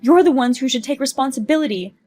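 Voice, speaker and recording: Whiny entitled mom, a woman puts her on: shrill, pleading, loud. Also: quick pace, soft background music, natural voice.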